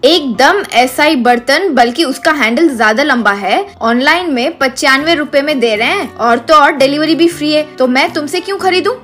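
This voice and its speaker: A woman voicing a sharp, thrifty village housewife who haggles and scolds in an animated comedy. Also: high voice, moderate pace, few pauses, soft background music. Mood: haggling. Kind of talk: animated comedy story dialogue.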